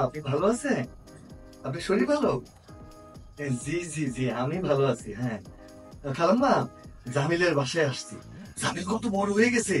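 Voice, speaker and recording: An actor with a Bangladeshi accent playing a pushy, effusive village visitor who acts like an old friend in a short-film drama. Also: male voice, sits high. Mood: chummy. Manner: effusive, insistent, colloquial. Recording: distant mic with background music.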